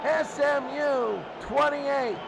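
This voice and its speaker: Male football radio commentator, high voice, excitable and repetitive, over loud crowd and music. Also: very slow, vocal fry, background noise. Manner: excitable, repeats phrases for emphasis. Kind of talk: live sports radio commentary.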